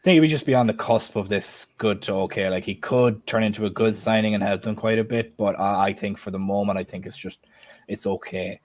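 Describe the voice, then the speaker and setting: Fast-talking man hosting a football stream. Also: on the low side. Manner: quick, invites others to give opinions. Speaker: stream host. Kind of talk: casual football discussion.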